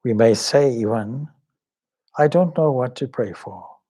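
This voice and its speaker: A man leading a guided meditation as a swami, speaking in a measured, solemn, devotional way with pauses between short phrases. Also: medium pitch, mellow, slightly rough.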